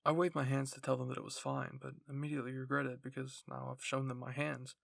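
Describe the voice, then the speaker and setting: A man's voice: medium pitch, flat delivery, quick pace, creaky, no room sound, studio quality. A man narrating a scripted first-person fan fiction story as an ASMR roleplay.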